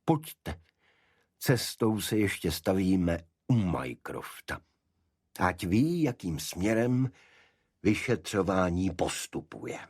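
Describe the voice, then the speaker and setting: A narrator, a man, gives us a gentleman detective from an audiobook mystery, brisk and incisive. His voice is low and husky, always probing for the next answer, in a dry, close sound. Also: big pitch swings, dry recording, heavily acted. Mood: brisk, decisive.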